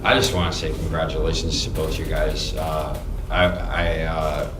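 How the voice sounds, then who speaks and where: A man from New York with an American accent, speaking from the audience at a gallery talk in halting, heartfelt, repetitive remarks. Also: extremely low pitch, flat delivery. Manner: halting, repeats words, heartfelt. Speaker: audience member. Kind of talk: casual, rambling remarks to a panel. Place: art gallery during a public talk. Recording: roomy and noisy gallery room.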